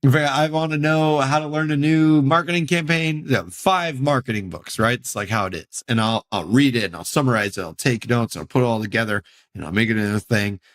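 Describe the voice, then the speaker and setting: A man in his thirties hosting a solo podcast, hoarse and losing his voice, talking in a candid, rambling way. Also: mid-range voice, a little fast, hardly any pauses. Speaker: podcast host.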